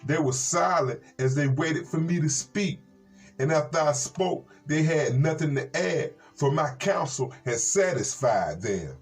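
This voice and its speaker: An American man, a reverend hosting a Bible program, reads scripture and commentary in a measured, earnest way with folksy asides. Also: on the high side, expressive. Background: plucked guitar music.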